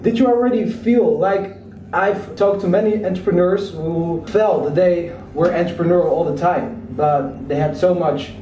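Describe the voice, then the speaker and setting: A man's voice: high voice, normal speed, dark tone, a little hiss or hum. A man hosting a casual interview, chatty and eager, in slightly broken English. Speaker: interviewer host. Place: outdoors or open room in Chiang Mai. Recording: roomy, distant mic, faint ambience.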